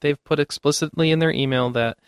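Higher-voiced man, dry close sound. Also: brisk, clear, resonant tone, no room sound. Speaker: podcast host reading game news. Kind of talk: casual panel banter and news talk.

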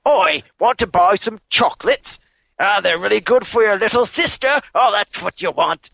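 A man's voice: sits high, animated, normal speed, creaky, pushed and bright, no echo, pitched above the performer's own voice, full character voice. A man voicing a pushy male chocolate seller in a game. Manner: pushy salesman patter.

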